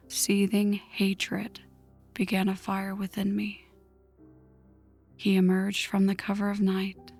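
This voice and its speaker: Slow, hushed vampire woman, acted by a woman. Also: mid-range voice. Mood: brooding, haunted. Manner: hushed, breathy, slow dramatic delivery. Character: newly turned vampire woman, vengeful, seething. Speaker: audio roleplay narrator. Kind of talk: ASMR scripted first-person story narration. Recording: music under the voice. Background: background music.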